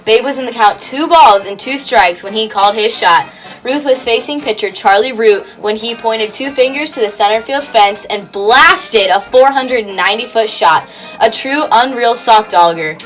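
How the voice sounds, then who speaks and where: A woman's voice: a little high, moderate pace, a bit bright, soft background music, poor audio. A young woman reading a scripted 1920s-style radio sports report.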